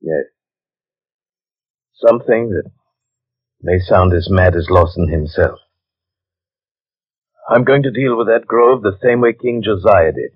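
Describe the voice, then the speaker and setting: An actor playing a grave, intense adventurer in a 1940s radio horror drama, telling his tale in measured, ornate, dramatic phrases. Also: lowish voice, no echo. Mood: resolute. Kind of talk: 1940s radio drama acting, first-person narration. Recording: muffled old 1940s radio recording.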